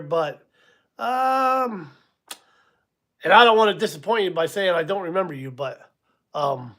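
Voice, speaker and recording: A man's voice: sits high, fairly bright tone. A man in his sixties hosting a casual live stream, rambling a little, chuckling and answering viewers by name. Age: 60s. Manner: casual, rambling, chuckles at himself, many ums. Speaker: livestream host.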